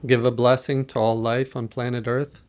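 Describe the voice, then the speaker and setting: Gentle guy doing guided meditation narration, medium pitch, measured and soft instructions. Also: little pitch movement.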